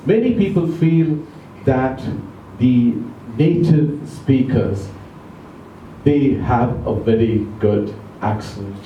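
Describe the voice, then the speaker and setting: A man giving an educational talk to a club audience, speaking slowly and deliberately, asking them questions, English not his first language. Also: medium pitch, mellow, distant mic, lo-fi sound, a little hiss or hum. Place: club meeting room. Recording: echoey room recorded from afar.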